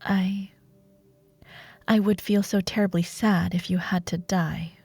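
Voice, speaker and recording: Woman acting a slow, silky dark sorceress warlord. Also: mid-range voice, steady tone, no room sound, faint music behind. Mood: soft, menacing. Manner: slow, deliberate, menacing, silky, often dropping to breathy murmurs. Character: seductive, ruthless female dark warlord sorceress villain. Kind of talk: dramatic fantasy audio roleplay monologue, ASMR.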